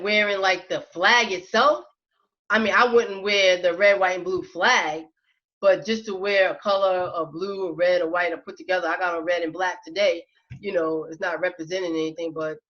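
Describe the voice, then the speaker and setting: A woman's voice: on the low side, fairly bright tone, dull audio. A woman speaking frankly on a casual panel discussion, hesitant with many fillers.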